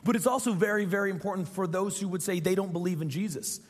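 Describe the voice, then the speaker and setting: A man's voice: high voice, fast. A young adult man preaching a sermon as pastor, his voice scratchy and strained, talking earnestly and repeating phrases for emphasis. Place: large church hall. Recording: long reverb from a church hall.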